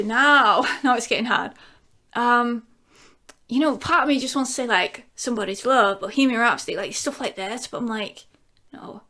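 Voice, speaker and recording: A woman's voice: on the high side, pushed and bright. A woman in her thirties from England, chatting casually to camera as she answers viewer questions, giggling often and rambling with lots of fillers. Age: 30s. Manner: breaks into bits of song.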